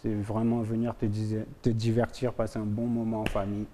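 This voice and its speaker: A man, a music artist, answering questions in a relaxed, hesitant way. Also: lowish voice, brisk, slight background noise. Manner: relaxed, hesitant, searches for words. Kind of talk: casual interview answers.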